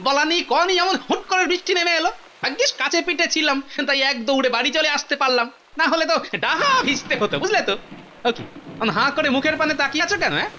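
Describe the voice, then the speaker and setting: A man's voice: very high voice, expressive, quick pace, bright, forceful tone, a little hiss or hum, heavily acted. A man playing a comic, lazy, food-loving husband in a kids' cartoon, chatty and exclaiming in an exaggerated way. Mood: excited, fussy. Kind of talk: Bengali kids' cartoon voice acting.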